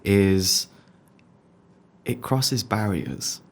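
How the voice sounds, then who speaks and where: A man's voice: fairly low pitch, drawn-out words, creaky. A man from England with a Birmingham accent, chatting reflectively as a podcast interviewer and often repeating his phrases. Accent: Birmingham English. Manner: reflective, repeats phrases, many "you know" and "like". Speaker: podcast interviewer, music photographer. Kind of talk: casual conversation.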